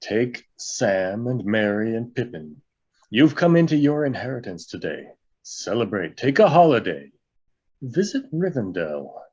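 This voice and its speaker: A man playing a grave, commanding old wizard with a portentous, deliberate delivery in a fantasy script read. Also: medium pitch, big pitch swings, a little mellow, husky, muffled recording. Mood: urgent, commanding.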